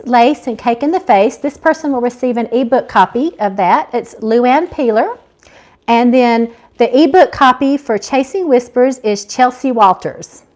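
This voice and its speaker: A woman's voice: fairly high pitch, a little mellow. A woman hosting a casual live chat as an author, effusive and grateful toward her viewers. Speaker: author and live-stream host.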